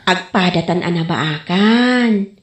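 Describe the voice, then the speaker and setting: A woman's voice: lowish voice, expressive, slow pace. A woman playing a bold, teasing young single woman in a radio comedy drama, with a Filipino accent. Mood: pushy, scolding. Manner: teasing, coquettish. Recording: echoey room sound.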